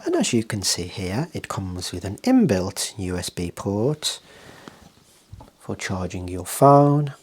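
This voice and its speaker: A very breathy, whispery voice with a low pitch, speaking in a hushed ASMR style. The delivery is slow and gentle, like a clinician carefully demonstrating instruments in a role play. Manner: hushed, gentle, deliberate explaining.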